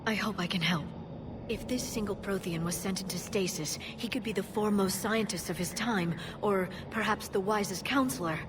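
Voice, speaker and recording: A woman voicing a composed, scholarly alien scientist in a video game, speaking in a measured, articulate and earnest way. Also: mid-range voice, noisy background, close to an everyday voice. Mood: earnest, fascinated. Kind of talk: video game cutscene acting.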